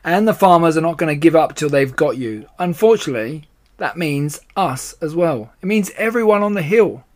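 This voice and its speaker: A man voicing an excitable, anxious badger in a children's story, with blustery, emphatic exclamations. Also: fairly high pitch, a little slow. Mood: furious, despairing. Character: excitable, anxious adult male badger, starving digger.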